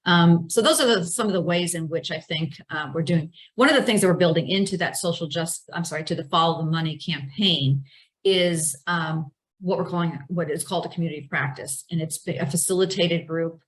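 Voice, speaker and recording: Low-voiced woman, halting speech. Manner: halting, full of fillers and self-corrections. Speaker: guest speaker. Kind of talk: presentation on a video call.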